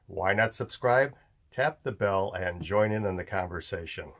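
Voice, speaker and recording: Older man, folksy, practical, somewhat low voice, lapel mic, indoor vlog. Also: a little slow, a bit bright. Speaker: homestead channel host. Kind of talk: casual explainer vlog. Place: indoor room, later inside a metal pole barn.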